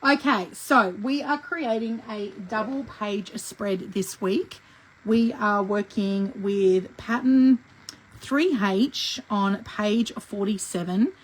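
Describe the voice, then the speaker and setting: A middle-aged woman leads a live scrapbooking lesson, talking through each step in a chatty, friendly way. Her voice is croaky from a cough that hasn't gone away, and she clears her throat now and then. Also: mid-range voice, takes it slowly, low-quality recording. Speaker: scrapbooking instructor. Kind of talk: live craft tutorial.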